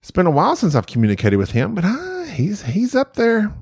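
A man's voice: high-pitched, wildly swooping pitch, a little fast, a little mellow, slightly rough, no room sound, studio quality. A middle-aged man hosting a solo podcast, talking with a nasal voice in a rambling, self-mocking, chatty way. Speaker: podcast host. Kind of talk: solo stream-of-consciousness podcast.

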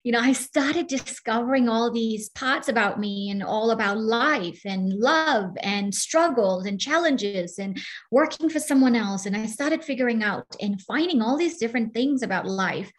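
Higher-pitched woman from Burma telling her story. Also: lively intonation, normal speed. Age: 30s or older. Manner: conversational, quotes imagined dialogue, says "like" often. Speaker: podcast guest, coach and entrepreneur. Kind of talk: casual personal storytelling in an interview.

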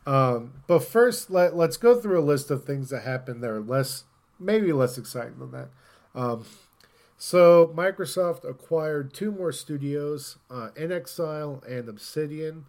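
A man's voice: on the high side, expressive, unhurried, a little mellow. Casual man hosting a podcast. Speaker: podcast host. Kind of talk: casual podcast chat about gaming news.

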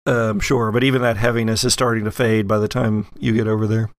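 A man's voice: lowish voice, level tone, fast, no echo, studio quality. A man improvising narration as game master of a tabletop horror roleplay.

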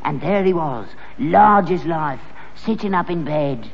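Sitcom role: crafty old Cockney father, lively swings in pitch, stammering and scoffing, on a dull archive recording. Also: measured pace, gravelly, long reverb tail, exaggerated voice. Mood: gleeful storytelling. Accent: London Cockney. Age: older. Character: stubborn, crafty old working-class London father. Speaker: sitcom actor. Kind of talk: vintage British sitcom acting.

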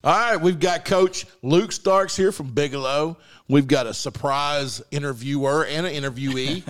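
A man's voice: on the high side, normal speed, soft background music. A man of forty, hosting a casual sports show with a teasing manner. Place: studio.

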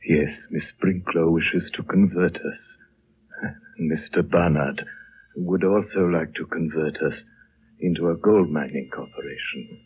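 An actor playing an ancient, serene, dying lama, fatherly and halting, in 1930s radio drama style. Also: sits low, husky, heavily acted. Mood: gentle, knowing. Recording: muffled, noisy old 1930s radio recording.

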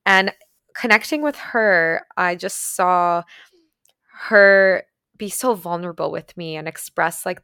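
A woman from Ukraine, raised speaking Ukrainian and Russian, talks in a casual, earnest, effusive storytelling style. Her voice sits a little low and is smooth and clear. The recording is close and dry, like a personal vlog. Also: bright, forceful tone, no room sound. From Ukraine, later moved to Canada. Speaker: vlogger sharing personal experiences. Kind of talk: casual reflective monologue storytelling.

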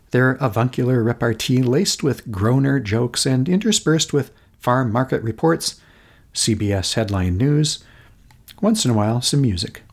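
A middle-aged man from Minnesota with an American accent, reading a personal memoir essay in a measured, literary storytelling manner. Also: medium pitch, darkish tone, slightly rough. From Minnesota, United States. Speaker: podcast host.

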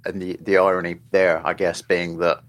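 Deep-voiced man, rambling podcast guest. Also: little pitch movement, normal speed, a bit bright. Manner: rambling, many restarts and asides. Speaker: podcast guest, film journalist. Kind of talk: casual podcast discussion.

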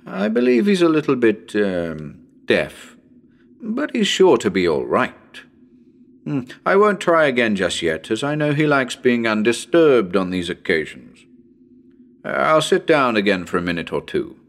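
A man's voice: mid-range voice, huge pitch swings, unhurried, vocal fry, exaggerated voice. A man playing a nervous radio broadcaster on a ghost hunt in a dramatic reading, talking to listeners in a chatty, hesitant, uneasy way. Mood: growing nerves. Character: nervous male radio broadcaster ghost hunting in haunted house.

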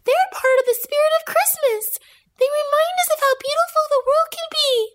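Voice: extremely high pitch, normal speed, heavy background noise. A performer playing a sweet, earnest child bunny girl in a fan radio play. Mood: earnest, pleading. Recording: loud music under the voice.

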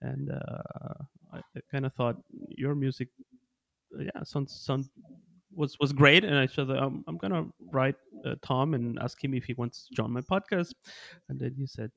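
A man's voice: medium pitch, fairly even intonation, normal speed, gravelly, fairly bright tone. A man from Ecuador hosting a podcast, asking questions casually with frequent restarts. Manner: friendly, stumbling restarts. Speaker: podcast host. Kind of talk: casual interview chat.

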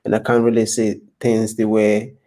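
Male podcast guest from Nigeria, lower voice, hesitant and reflective. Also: monotone, moderate pace, warm tone. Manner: hesitant, thoughtful, many ums and restarts. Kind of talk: casual interview chat.

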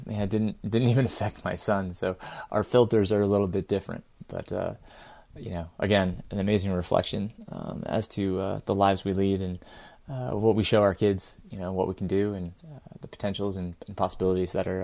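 A middle-aged man with a fairly low voice talks through a personal audio journal. He speaks in a halting, reflective way, pausing often to find his words, and the sound is close and dry. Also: gravelly, a little mellow, no echo. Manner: halting, hesitant, with frequent filled pauses and small chuckles. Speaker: podcast host and amateur ultrarunner.

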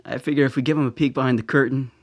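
A man acts a confident military officer in a radio drama. His mid-pitched voice is husky and creaky, and he talks tersely and matter-of-factly in a dry recording. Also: steady tone, racing, no echo, close to an everyday voice.

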